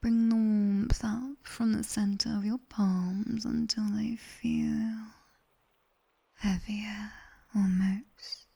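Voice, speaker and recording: A woman plays a female nightmare demon tutor with a British accent. She whispers in a very breathy, softly dark tone, extremely unhurried. Her ASMR instruction is coaxing and dryly teasing, delivered close to the mic. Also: mid-range voice, heavily acted. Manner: slow, dry, teasing instruction. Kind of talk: ASMR roleplay, guided body scan. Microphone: close binaural microphone.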